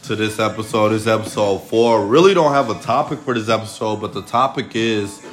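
Slightly raspy young American man. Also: lowish voice, brisk, faint music behind. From United States. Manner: slangy, teasing. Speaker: podcast co-host. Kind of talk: casual podcast banter.

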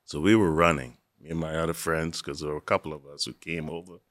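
A man's voice: extremely low pitch, steady tone, fast, a bit bright, no echo, studio quality. A middle-aged man from the Bahamas, earnestly telling his personal story as an interview guest. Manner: reflective, earnest, with easy laughs. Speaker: university assistant director, guest.

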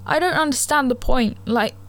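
Teen girl rambling on her podcast, bit gravelly from a cold, higher voice. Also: normal speed, a bit bright. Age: teens. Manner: rambling, self-correcting, chatty. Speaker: podcast host. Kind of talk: casual solo podcast chat. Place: living room at home. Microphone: handheld studio mic.

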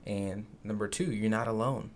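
Earnest young man, low-voiced. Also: fairly even intonation, normal speed, a little hiss or hum. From Zimbabwe. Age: young adult. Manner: earnest, reflective, many fillers. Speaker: podcast co-host. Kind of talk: casual podcast conversation.

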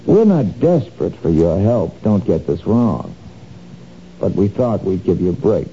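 A man's voice: low voice, very animated, a little slow, dark tone, heavily acted. An actor playing a sly, menacing male crook who wheedles and threatens, in a 1970s radio drama. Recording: dull lo-fi old radio recording, faint noise.

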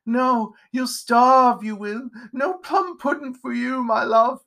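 Storybook witchy mother: very high voice, sweetly cruel, mocking, sing-song threats. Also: slow, vocal fry, sharp tone, full character voice. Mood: mocking menace. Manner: high-pitched, sing-song cackling menace. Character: cruel, witchy mother taunting her son.

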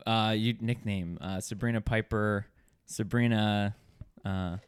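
A young man, a comedian guest on a podcast, with a booming voice, talking in a laid-back, agreeable way and slipping in deadpan jokes. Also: takes it slowly, clean tone, no room sound. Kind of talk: casual comedy podcast banter.

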